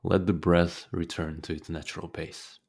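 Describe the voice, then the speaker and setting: Very deep-voiced man guiding meditation. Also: husky. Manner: calm, deliberate, with frequent pauses. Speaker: guided meditation teacher. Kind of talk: guided meditation narration.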